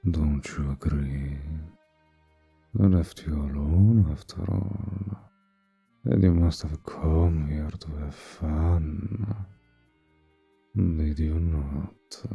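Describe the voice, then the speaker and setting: A man voicing an imposing, charming male vampire in an intimate roleplay audio, suave, courtly and flirtatious, with a deep, gravelly, husky voice. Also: warm tone, lo-fi sound, full character voice. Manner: suave, courtly, teasing, drawn-out formal phrasing.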